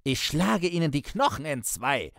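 Brash, high-pitched young scolder. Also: male voice, sing-song, measured pace, gravelly, no echo, studio quality, heavily acted. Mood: menacing indignation. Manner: scolding, threatening, sharp-tongued. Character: brash indignant young male cyclist, menacing and cocky. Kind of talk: dramatised literary storytelling.

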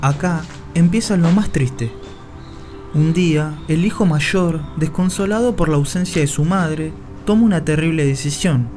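Solemn male narrator over soft music. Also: fairly high pitch. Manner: solemn, measured storytelling. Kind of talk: scripted dramatic narration.

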